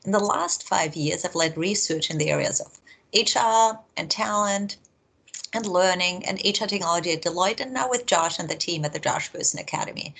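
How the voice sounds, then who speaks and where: Husky-voiced woman with an Austrian accent. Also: on the low side, fairly quick, a bit bright, low-quality recording. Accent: Austrian German. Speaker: research vice president. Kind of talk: prepared talk to camera. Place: home office.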